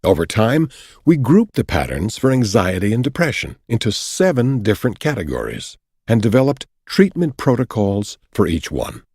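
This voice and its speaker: Male narrator, scripted nonfiction audiobook, husky, measured explanatory delivery, very dry close studio sound. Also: lowish voice, big pitch swings, a little mellow, no echo.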